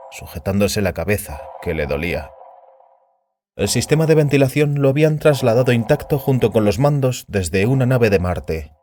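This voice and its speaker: A man's voice: mid-range voice, darkish tone, slight background noise. A man reading a science fiction story aloud as a scripted, dramatic narration.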